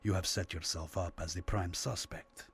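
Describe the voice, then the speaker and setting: A man playing a coolly ruthless Soviet spy in a radio drama, speaking with formal, measured menace. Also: low-pitched, steady tone, fairly bright tone, breathy edge, no echo, full character voice. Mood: cold menace. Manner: measured, ominously formal, mock-sympathetic.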